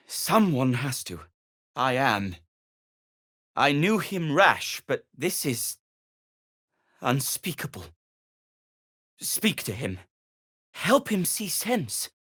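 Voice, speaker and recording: An actor playing an earnest, fussy, melancholy gnome inventor in a video game, with a hesitant, wordy and old-fashioned polite way of talking. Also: male voice, on the high side, fairly bright tone, breathy edge, no echo, studio quality, exaggerated voice. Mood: anxious, earnest. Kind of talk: video game character dialogue.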